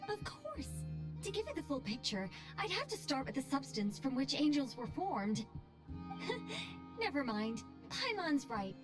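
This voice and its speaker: Female game mage, high lilting voice, lively intonation, poised, playful, self-assured, music behind. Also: unhurried. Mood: playfully proud. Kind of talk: video game character dialogue. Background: background music.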